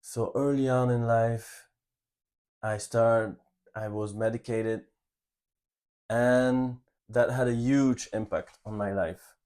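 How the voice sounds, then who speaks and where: A man in his thirties from Belgium with a Flemish accent, telling his life story in an earnest, halting way as co-host of a channel. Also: mid-range voice, steady tone, a little mellow. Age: 30s. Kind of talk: personal life story, casual talk.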